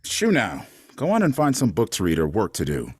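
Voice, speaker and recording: An actor playing a gruff, impatient old grandfather in a staged play reading, curt and dismissive. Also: on the low side, wildly swooping pitch, moderate pace, full character voice.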